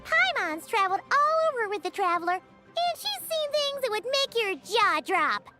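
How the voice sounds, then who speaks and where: A woman voicing a small, bubbly and cheeky fairy companion in a video game, with a squeaky childlike voice and a teasing manner. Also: big pitch swings, normal speed. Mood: cheeky, skeptical. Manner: refers to self in third person, teasing. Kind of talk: English video game voice acting. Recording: loud music behind the voice. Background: loud game music.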